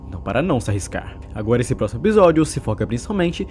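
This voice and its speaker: Fast, lively Brazilian male narrator. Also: medium pitch, faint music behind. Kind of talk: horror story recap narration.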